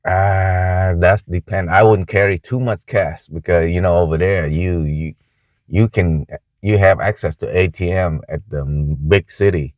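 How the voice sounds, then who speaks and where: The recording is a little dull and muffled, made indoors. A man with a low voice and a Vietnamese accent talks informally to camera. He explains things haltingly, like a casual travel vlogger. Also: steady tone, darkish tone. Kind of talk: casual talking-head video. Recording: muffled, echoey room sound.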